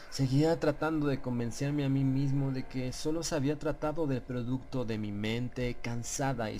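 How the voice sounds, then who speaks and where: A man narrating a scripted horror audiobook in a grave, measured, suspenseful way. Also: medium pitch, a little mellow, slight background noise.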